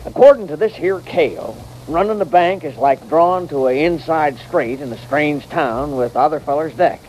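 An actor playing a folksy, gullible saloon keeper with a rustic American Western way of talking, in radio drama. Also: male voice, fairly high pitch, lively intonation, moderate pace, darkish tone, natural voice. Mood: impressed, folksy. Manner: rustic, colloquial Old West drawl. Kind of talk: old-time radio western acting. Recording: noisy vintage radio recording.

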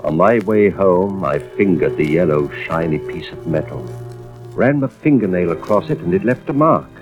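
Tough american investigator in old radio play, really low voice that moves around, music behind, dull sound. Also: male voice, expressive, moderate pace. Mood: tense, suspenseful. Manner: terse, suspenseful first-person narration. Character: intent, hard-boiled male arson investigator and father. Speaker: lead actor and first-person narrator. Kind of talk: 1940s-50s radio drama acting.

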